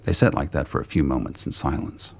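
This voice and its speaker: Very deep, gravelly male narrator. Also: a little fast, fairly bright tone. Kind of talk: scripted dramatic story narration.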